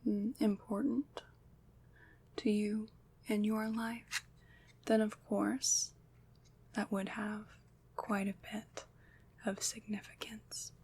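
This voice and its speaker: A woman playing a gentle, soothing witch in an ASMR roleplay, whispering softly and breathily with tender, formal, old-fashioned phrasing. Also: medium pitch, flat delivery, takes it slowly, long pauses, slightly rough. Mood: calm, explanatory. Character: gentle, soothing female witch, caring and slightly mysterious.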